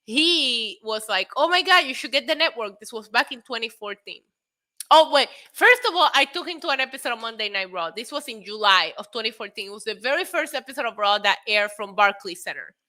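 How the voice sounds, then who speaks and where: A woman in her late thirties hosting a casual wrestling live stream, excitable and a little tipsy, laughing, swearing and dropping in Spanish phrases as she answers chat. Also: a little high, a little fast. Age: 30s. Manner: frequent laughter and profanity. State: tipsy from drinks. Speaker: wrestling show host.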